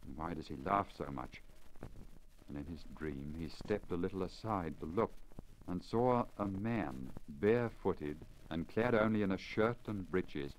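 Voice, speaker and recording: An old, crackly disc recording from 1950 carries a man's low-pitched voice. He narrates a literary story in period radio style, formal and measured, with careful enunciation. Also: darkish tone. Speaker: story reader, radio broadcaster. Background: surface crackle and noise.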